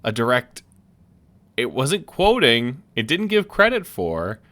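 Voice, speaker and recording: A man casually commenting on a video game as he plays, thinking aloud and halting with many restarts. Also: medium pitch, huge pitch swings, fairly bright tone. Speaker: let's play streamer.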